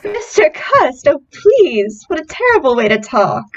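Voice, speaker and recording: A woman acts out a kindly young landlady's daughter in a radio play. Her voice is high and gently coaxing. The sound is slightly dull and muffled. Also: sing-song, unhurried. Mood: concerned. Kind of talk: old-time radio drama acting.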